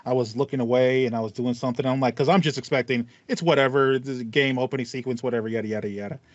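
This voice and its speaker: A man in his thirties hosting a casual gaming podcast, telling stories in an animated manner. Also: medium pitch, racing, no room sound. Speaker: podcast host. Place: small home room with foam panels. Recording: dull, lo-fi dry audio.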